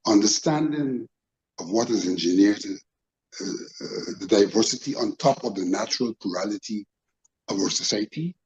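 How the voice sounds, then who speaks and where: Guyanese guy on a political panel, formal and deliberate, bit muffled lo-fi sound. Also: lowish voice, expressive, darkish tone. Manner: deliberate, formal, measured phrasing. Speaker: panel guest, political commentator.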